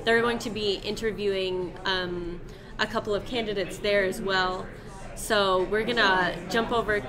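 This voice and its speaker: A woman in her twenties co-hosting a live election night stream, explaining politics in a relaxed, chatty way. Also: mid-range voice, a little slow. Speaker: co-host. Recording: noisy room with crowd chatter.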